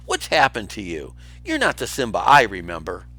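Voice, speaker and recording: A man's voice: fairly low pitch, wildly swooping pitch, normal speed, bright, forceful tone, soft background music, heavily acted. A man voicing a grown lioness from a children's storybook, an insistent, pleading and devoted friend. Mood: urgent, reproachful. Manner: insistent, emphatic.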